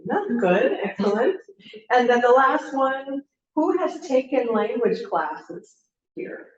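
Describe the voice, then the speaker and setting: Middle-aged woman, workshop leader, encouraging, higher pitch, distant roomy recording. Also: expressive, slow. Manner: friendly, encouraging, asks the group questions. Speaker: language teacher trainer. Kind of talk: leading a training session. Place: classroom. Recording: roomy, distant, slightly dull.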